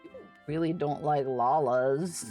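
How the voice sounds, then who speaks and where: A woman's voice: low-pitched, unhurried, faint music behind. A woman livestreaming a fantasy game, chatting casually with playful asides. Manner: playful, animated.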